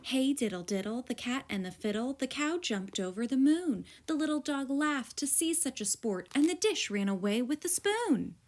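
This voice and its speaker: A woman reading a children's picture book aloud as a teacher would, in an expressive, deliberate storytelling style. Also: high voice.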